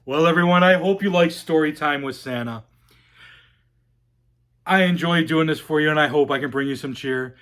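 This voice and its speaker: A man reading a rhyming children's story aloud as a Santa storyteller, dramatic and expressive. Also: fairly high pitch, moderate pace, long pauses.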